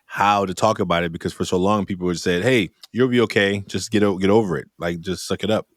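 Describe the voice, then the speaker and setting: Male podcast host, deep pitch, dry close recording, reassuring, encouraging, conversational. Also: steady tone, quick pace, no room sound. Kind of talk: casual, conversational interviewing.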